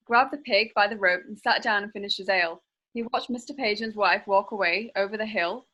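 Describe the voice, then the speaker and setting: A woman's voice: medium pitch, bright, forceful tone, poor audio. A woman playing a chatty, cheeky tavern-going witness in a period courtroom radio play.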